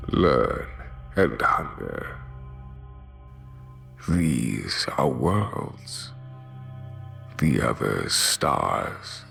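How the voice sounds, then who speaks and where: An actor playing a lonely, solemn male creator god in a fantasy audio drama, with halting, weighty, incantatory speech. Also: extremely low pitch, gravelly, a bit bright, exaggerated voice. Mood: solemn, tender.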